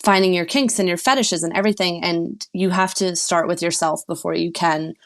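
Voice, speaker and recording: A woman's voice: fairly low pitch, fairly even intonation, a little fast. A woman sex and relationship coach, a podcast guest, talking frankly and laughing easily.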